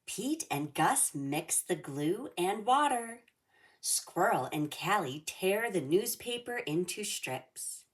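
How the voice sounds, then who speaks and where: Female children's storybook narrator, low register, unhurried and measured delivery.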